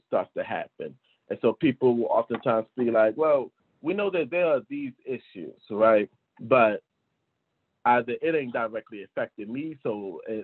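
Husky man on a thin video-call line. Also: mid-range voice. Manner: punctuates points with "right?", poses rhetorical questions. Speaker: panelist. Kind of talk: earnest discussion on an online panel. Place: small indoor room, at a desk. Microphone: video call computer microphone. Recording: narrow, phone-like video-call audio.

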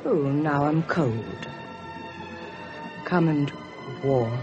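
Flirty policewoman, unhurried. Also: sits low, music in the background. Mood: seductive. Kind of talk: 1970s British radio drama acting. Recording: dull old radio recording.

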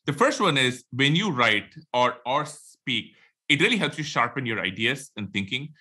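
A middle-aged man with an Indian accent, a podcast guest talking quickly and animatedly on a video call from a home room. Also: mid-range voice, slightly rough. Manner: quick, animated, many fillers. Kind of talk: casual interview talk. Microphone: headphones, video call.

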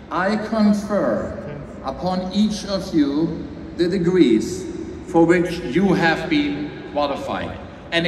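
A man presiding as university chancellor, giving a slow, measured, ceremonial commencement address. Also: fairly high pitch. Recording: echoey hall from far off. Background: ambient crowd noise.

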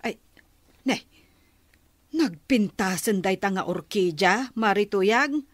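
A woman's voice: mid-range voice, expressive, takes it slowly. A woman playing an admiring, eager village customer with an Ilocano accent in a radio comedy drama.

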